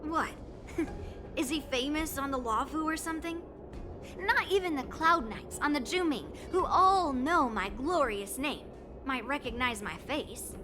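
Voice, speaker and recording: A woman's voice: high voice, unhurried, noisy background. A woman playing a proud, mischievous young warrior girl in a video game, blunt and teasing. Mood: boastful.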